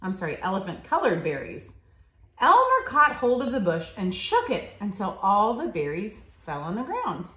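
A woman's voice: medium pitch, wildly swooping pitch, moderate pace, bright, forceful tone. A woman in her thirties reads a picture book aloud expressively to a child, in simple teacherly phrases, recorded in a living room a short way from the camera. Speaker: school staff member reading aloud to a child. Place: living room, seated on a leather couch. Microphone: camera a short distance away. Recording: roomy, echoey home recording.

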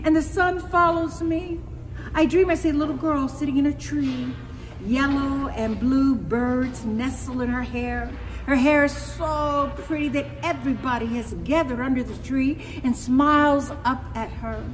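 A woman voicing an excitable young girl telling her adventure in a dramatic, theatrical storybook read-aloud. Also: high-pitched, measured pace. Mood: dreamy. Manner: theatrical, expressive, emphatic repetition. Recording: echoey hall, music behind.

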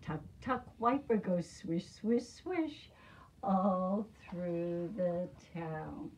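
An older woman, in her sixties, reading aloud to young children as their teacher, with deliberate, expressive, simple phrasing. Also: fairly low pitch. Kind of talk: children's read-aloud storytelling. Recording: noisy amateur room recording with clicks.

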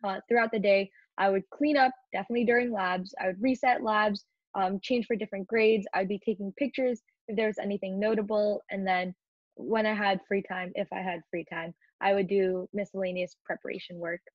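A woman's voice: medium pitch, fairly even intonation, brisk, no echo. Teenage girl presenting, dull lo-fi sound. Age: teens. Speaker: student presenter. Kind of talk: informal slide presentation.

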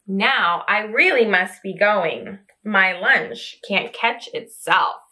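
A woman's voice: mid-range voice, lively intonation, takes it slowly, pushed and bright, voice pushed down. A woman voicing a small, boastful, teasing mouse in a picture book who insists it is a tiger. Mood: confident.

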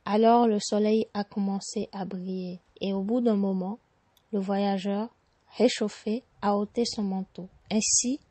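Beninese woman reading slow and careful, dry close recording. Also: medium pitch, fairly even intonation, mellow, dry recording. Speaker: recorded contributor. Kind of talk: reading a set passage aloud.

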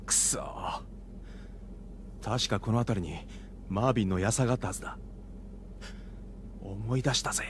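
An actor playing a tough, defiant teenage gang leader in a Japanese radio drama, with rough, slangy speech. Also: male voice, fairly low pitch, moderate pace, pauses often, a little hiss or hum, natural voice. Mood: tense, quiet.

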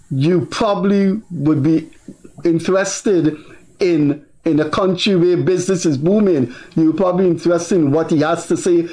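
An older man with a Guyanese accent, hosting a political talk show in a studio with measured, deliberate delivery. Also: on the high side, darkish tone, poor audio. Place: TV studio with virtual set.

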